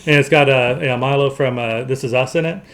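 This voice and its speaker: A man's voice: mid-range voice, fairly even intonation, brisk, a bit bright. A man co-hosting a podcast, chatting casually through a round-up of entertainment news. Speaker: podcast co-host. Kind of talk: casual box office round-up chat.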